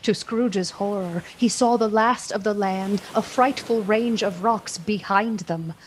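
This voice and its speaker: Lady as solemn radio play narrator, mid pitch, measured and expressive, old christmas story. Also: noisy background, close to an everyday voice. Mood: dramatic storytelling. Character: solemn female storyteller of a Victorian Christmas tale.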